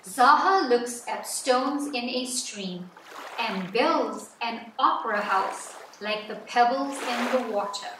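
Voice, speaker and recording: A woman reading a children's picture book aloud as scripted narration, with measured, deliberate phrasing. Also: on the high side, noisy background. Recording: roomy echo, distant mic, background music. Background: music.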